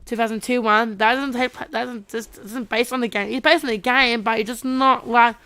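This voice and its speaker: A woman's voice: a little high, fairly even intonation, normal speed, a bit bright, clear, resonant tone. A woman co-hosting a podcast in casual chat, informal and blunt, often repeating her phrases. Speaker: podcast co-host.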